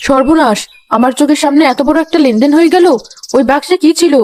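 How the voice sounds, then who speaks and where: A woman's voice: high voice, fast, darkish tone, no echo, close to an everyday voice. A woman voicing a suspicious, snooping mother-in-law in a comedy cartoon, dramatic and accusatory. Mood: alarmed. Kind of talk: Bengali cartoon comedy acting.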